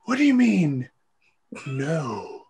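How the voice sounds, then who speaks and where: A man's voice: a little high, big pitch swings, drawn-out words, creaky, darkish tone, exaggerated voice. A man playing Mario as a blunt, exasperated, money-minded plumber in an amateur script reading. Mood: exasperated, pleading.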